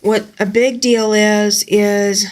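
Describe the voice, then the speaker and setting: A woman's voice: mid-range voice, little pitch movement, takes it slowly, darkish tone. A woman from Alabama, chatting casually on a live stream from her home sewing room as she rambles and answers viewers' comments aloud.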